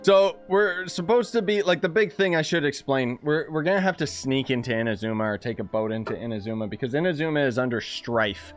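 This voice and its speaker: Brief: Canadian guy streaming a game, sarcastic and excited, soft music behind. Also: mid-range voice, brisk, hardly any pauses. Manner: excitable, sarcastic, talks to chat. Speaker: gaming video creator. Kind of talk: casual let's-play commentary.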